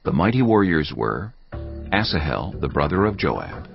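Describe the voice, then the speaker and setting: A man with a gravelly, husky voice giving solemn, measured scripted narration of a dramatized Bible. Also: extremely low pitch, very animated, muffled recording, faint music behind.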